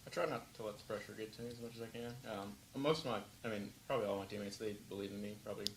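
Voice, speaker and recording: Very fast, low-voiced pitcher. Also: male voice, fairly even intonation, background noise. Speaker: relief pitcher. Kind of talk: post-game interview answer.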